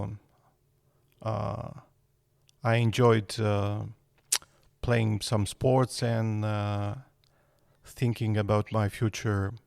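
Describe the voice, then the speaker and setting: Gravelly, slow man. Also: on the low side, a little mellow, no echo, studio quality. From Serbia. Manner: hesitant, frequent fillers. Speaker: guest architect. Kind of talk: podcast interview.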